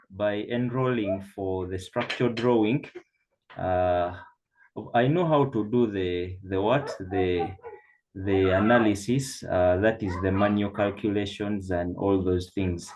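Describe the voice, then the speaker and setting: A man from Uganda slowly introducing himself in an online meeting, hesitating with many fillers. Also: low-pitched, a little mellow, a little hiss or hum, poor audio. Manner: slow, hesitant, frequent "uh" fillers. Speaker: course participant. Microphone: video call.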